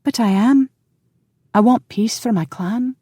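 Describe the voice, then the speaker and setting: A narrator voicing a headstrong young woman who leads a clan, loving, defiant and quick to plead or protest. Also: mid-range voice, dark tone, breathy edge, no room sound, clean studio sound, voice pushed up. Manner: pleading, indignant.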